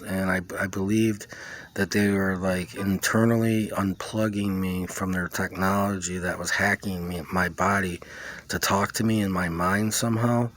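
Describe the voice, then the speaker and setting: A man's voice: deep voice, fairly bright tone. A man recounting a personal experience in a casual, rambling way, often dropping in "you know", "like" and "man". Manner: informal, peppers talk with "you know", "like" and "man". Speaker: vlogger recounting own experience.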